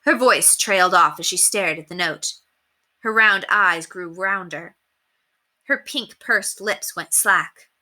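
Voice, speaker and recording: A woman reading a children's novel aloud as expressive scripted narration. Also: on the low side, slow.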